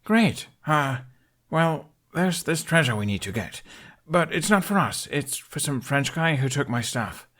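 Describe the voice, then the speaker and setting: A man's voice: fairly high pitch, expressive, unhurried, fairly bright tone, voice pushed up. A man voicing a nervous, well-meaning boy in an audiobook, hesitant and apologetic as he stammers along. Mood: nervous rambling. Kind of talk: audiobook character voice.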